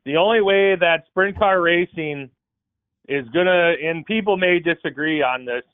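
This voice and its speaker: A man's voice: a little high, measured pace, clean tone, no echo. A man calling in to a racing talk show, laying out his argument step by step. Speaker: caller. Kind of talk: casual call-in chat. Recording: dry, tinny phone line.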